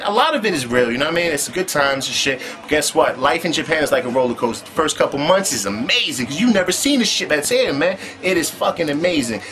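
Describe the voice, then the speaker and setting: A man's voice: high voice, fairly bright tone. A man telling his own story in casual, profane street slang, with "man" tossed in constantly. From United States.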